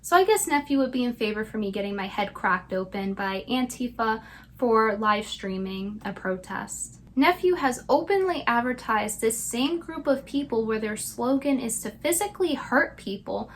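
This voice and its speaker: A woman's voice: on the high side, lively intonation, normal speed, a little hiss or hum. A woman delivering scripted commentary in a sarcastic manner. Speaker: video commentator.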